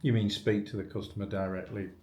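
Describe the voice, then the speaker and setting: A man with a very low voice hosts a business webinar as an interviewer. He puts informal, probing questions conversationally, and the room around him sounds clearly echoey. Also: level tone, quick pace, a little mellow. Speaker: webinar host asking questions.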